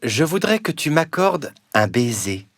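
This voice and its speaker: A man's voice: a little high, sing-song, a little slow. A man voicing a courteous, wheedling talking frog in a children's storybook. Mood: coaxing. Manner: courteous, coaxing. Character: polite, wheedling male talking frog, a prince under a spell. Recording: music under the voice.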